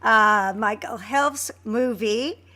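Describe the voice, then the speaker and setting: High-pitched woman, effusive podcast host. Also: slow pace, sharp tone. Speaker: podcast host, co-creator and voice actress. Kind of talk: casual podcast chat.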